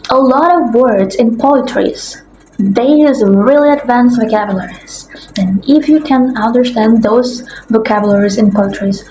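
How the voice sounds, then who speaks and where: A woman with an Indonesian accent, a native Indonesian speaker, giving earnest, encouraging advice in a casual vlog and pausing to search for words. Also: fairly high pitch, mellow. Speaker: language vlogger. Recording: roomy echo with faint background noise.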